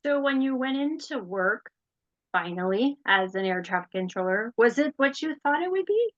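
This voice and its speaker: A woman speaks in a fairly high voice, slightly dulled by a lo-fi recording. She sounds like a curious, attentive interviewer in casual conversation. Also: animated, normal speed.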